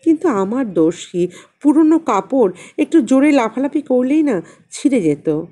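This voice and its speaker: A woman narrating a literary story expressively, in a measured way with dramatic pauses. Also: high-pitched, very animated, mellow, faint music behind. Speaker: audiobook narrator and channel host.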